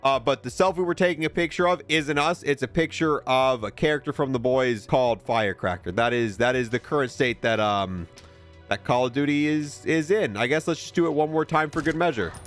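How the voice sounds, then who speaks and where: A man's voice: medium pitch, brisk, soft background music. A man giving casual commentary as he reviews video game content. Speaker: gaming video creator reviewing a game bundle.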